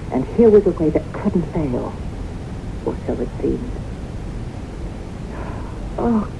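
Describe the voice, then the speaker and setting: An actress playing a haunted, guilt-ridden woman with slow, deliberate, dramatic delivery in a 1970s radio drama. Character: haunted guilt-ridden woman recalling her doomed youth. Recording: noisy, rumbling, muffled 1970s radio recording.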